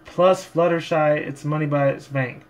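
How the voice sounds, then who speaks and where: A man's voice: on the high side, steady tone, moderate pace, natural voice. Man as a haughty, fussy lady pony. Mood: scornful.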